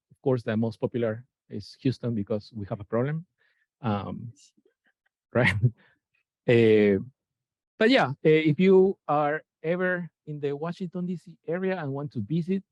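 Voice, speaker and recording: A man's voice: fairly high pitch, darkish tone, dry recording. A man, giving an informal science lecture, hesitant with many fillers and self-corrections. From Peru. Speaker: lecturer, NASA chemist. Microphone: room mic at a lecture, Zoom shared. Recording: dull, dry lecture recording.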